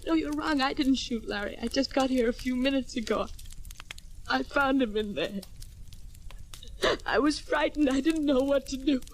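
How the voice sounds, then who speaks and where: A woman's voice: sits high, normal speed, pauses often, lightly acted. A woman playing a frightened, pleading young murder suspect in a 1940s radio melodrama, with emotional delivery. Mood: desperate, defensive. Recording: noisy old radio recording.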